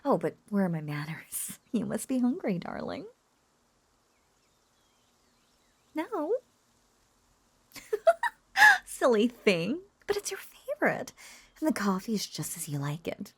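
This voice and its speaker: A woman playing an obsessive, lovesick young witch who coos over a captive beloved, clingy and breathy with frequent whispers, in an intimate roleplay audio drama. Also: mid-range voice, wildly swooping pitch, measured pace, long pauses, a bit bright, dry recording, exaggerated voice. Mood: doting, playful. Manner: cooing, clingy, breathy, often dropping into whispers.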